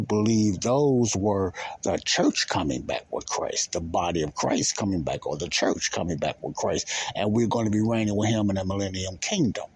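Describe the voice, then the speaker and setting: A man's voice: on the low side, darkish tone, husky. A man giving informal, emphatic Bible teaching as a podcast host, repeating key phrases and posing rhetorical questions.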